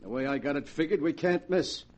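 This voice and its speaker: Cocky, high-pitched gangster, played by a man. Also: expressive, fairly quick, natural voice. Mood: boastful, bossy. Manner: tough-talking racketeer slang, menacing. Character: ruthless, cocky gangster who believes himself invincible. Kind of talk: 1940s radio acting.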